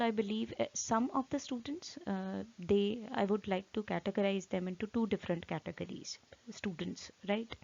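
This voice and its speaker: A woman's voice: medium pitch, creaky, darkish tone. A woman in her thirties with an Indian English accent, teaching exam speaking skills in a rambling, filler-heavy talk full of "right?" tags and self-corrections. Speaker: IELTS trainer. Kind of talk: informal online teaching talk. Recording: dull lo-fi audio.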